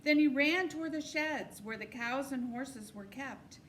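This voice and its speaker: A woman with a distinctly high voice reads a children's novel aloud. She tells the story expressively. A faint noise runs behind her voice. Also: measured pace. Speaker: storybook reader.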